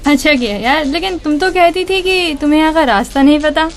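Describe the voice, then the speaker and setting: Casting: friendly chatty office woman, high voice, gushing, slightly hesitant, radio drama dialogue. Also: brisk, faint music behind. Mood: friendly, awkward. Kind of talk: radio drama dialogue in Hindi mixed with English.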